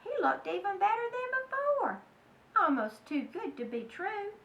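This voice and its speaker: A woman's voice: very high-pitched, sing-song, unhurried, vocal fry, a bit bright. A woman reading aloud to children in a dramatic, emphatic way, talking directly to her young listeners. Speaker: children's storybook reader. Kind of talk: animated read-aloud to children. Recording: echoey room, distant mic, faint noise.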